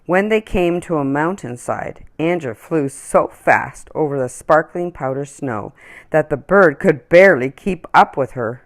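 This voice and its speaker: A woman reading a children's story aloud in an expressive, deliberate storytelling manner. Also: low voice. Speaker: children's story-time reader.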